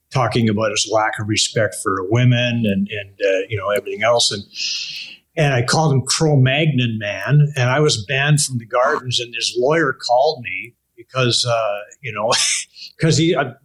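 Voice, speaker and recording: An older Canadian man with a husky, slightly rough voice at an average male pitch. He chats as an interview host, halting and circling back as he makes his point. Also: a bit bright, rough recording. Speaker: interview host, veteran broadcaster. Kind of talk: casual interview chat.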